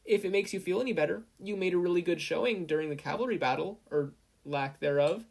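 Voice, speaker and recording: A man's voice: sits high, fast, creaky, darkish tone, voice pushed up. A man voicing a nervous, kindly, apologetic teenage boy in a story reading, awkward and earnest.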